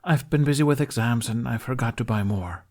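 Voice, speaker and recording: A man's voice: medium pitch, a little fast, a little mellow, voice pushed up, lightly acted. A man voicing a young male apprentice in an audiobook, polite and earnest, with a hesitant, apologetic way of talking. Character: young apprentice monk, polite, earnest, anxious for his master. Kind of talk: audiobook character dialogue.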